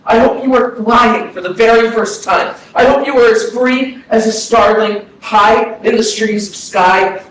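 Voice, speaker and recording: A man's voice: high voice, a bit bright. A young man acting as a grieving thirty-one-year-old haunted by a dead loved one, in an earnest, lyrical staged play reading. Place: arts center theatre, staged reading. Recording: distant, echoey hall with background hiss.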